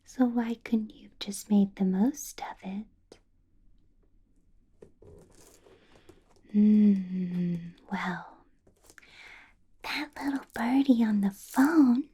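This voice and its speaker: A woman voicing a possessive, coolly menacing vampire boss in an ASMR roleplay, whispering slowly and deliberately with musing hums. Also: medium pitch. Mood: stern, probing. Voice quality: soft, breathy whisper. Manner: slow, deliberate, drawn-out pauses, musing hums.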